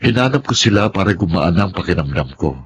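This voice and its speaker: A man's voice: sits low, animated, a little fast. An actor playing a remorseful, earnest husband and father in a radio drama, speaking hesitantly with a Filipino accent. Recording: clear background noise and ambience.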